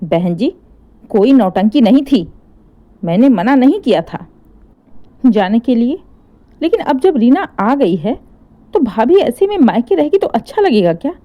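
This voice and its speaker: A woman voicing an angry, harried older mother-in-law in a story, complaining in a sharp, exasperated manner. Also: a little high, very animated, a little slow, pauses often, muffled recording. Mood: exasperated, defensive.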